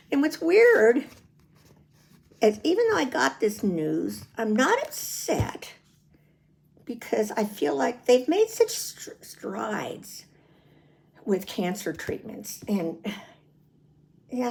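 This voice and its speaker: Casting: American woman, seventies, chatty video diarist with a gravelly edge and lively, swinging intonation. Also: medium pitch. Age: 70s. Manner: chatty, rambling, prone to chuckling. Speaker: vlogger. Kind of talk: casual personal video diary.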